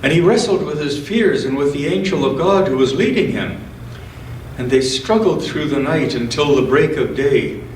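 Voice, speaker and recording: A man speaking as a scripture reader at a church service, with a measured, deliberate delivery. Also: fairly high pitch, expressive. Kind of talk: church scripture reading with informal introduction. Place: indoors in a church. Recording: roomy church sound, distant mic, faint noise.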